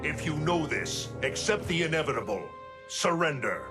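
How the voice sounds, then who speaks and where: A man's voice: on the high side, sing-song, normal speed, loud music behind the voice. An actor voicing a stern, commanding male thunder god, with a game score behind. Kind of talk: video game cutscene acting. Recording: game cutscene with loud score.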